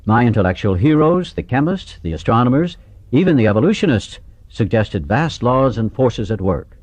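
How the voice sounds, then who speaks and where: A man reading an audiobook aloud from a script in a dramatic, expressive way. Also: medium pitch, moderate pace. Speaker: amateur audiobook narrator. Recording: dull, echoey room sound.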